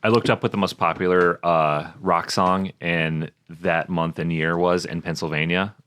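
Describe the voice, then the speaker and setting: A man's voice: sits low, steady tone, moderate pace, fairly bright tone, clean tone. A man co-hosting a podcast in casual chat, joking irreverently and reading from notes. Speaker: podcast co-host.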